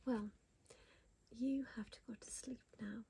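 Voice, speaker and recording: A woman reading a bedtime picture book to a child in a soft whisper, gasping and asking the child questions. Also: fairly high pitch, steady tone, a little slow, vocal fry, husky. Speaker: bedtime story reader.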